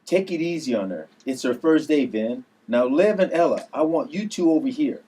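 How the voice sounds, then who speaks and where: A man's voice: mid-range voice, expressive, moderate pace, warm tone, husky. A man at a table read playing an earnest uncle, a recovering addict who now trains his nieces to fight. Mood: instructing.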